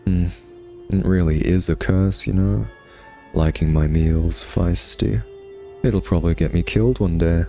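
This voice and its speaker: A smug vampire acted by a man speaks in a low male voice with a sardonic, teasing drawl. He toys with his captive nemesis in a conversational ASMR roleplay, with faint music behind him. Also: steady tone, a little slow, creaky, a little mellow.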